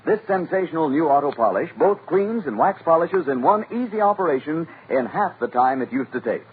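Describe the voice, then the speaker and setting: A narrow old radio recording with slight hiss carries a male announcer giving a smooth, scripted 1940s sales pitch. His voice sits a little high. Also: animated, a little fast. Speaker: commercial announcer.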